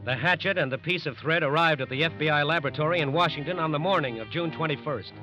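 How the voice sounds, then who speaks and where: Dramatic old radio narrator guy, highish forceful voice, music under, muffled. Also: fast talker. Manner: emphatic, suspenseful.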